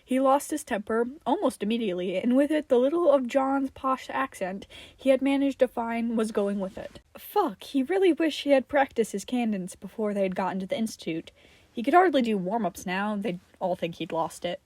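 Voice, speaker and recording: High-voiced woman narrating a story. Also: expressive, moderate pace, darkish tone, dry recording. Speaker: podfic narrator.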